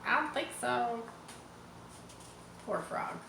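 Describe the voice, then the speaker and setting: Female storybook reader: bright, mid-pitched, expressive, informal, in a roomy-sounding space. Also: slow, vocal fry, slight background noise. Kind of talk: expressive read-aloud narration for children with casual asides.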